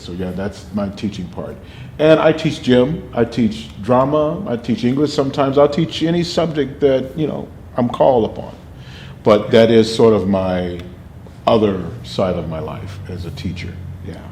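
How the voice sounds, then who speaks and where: Booming older man telling stories. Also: moderate pace. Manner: animated storyteller, laughs often. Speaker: actor and drama teacher. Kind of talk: interview storytelling. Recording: roomy with faint noise.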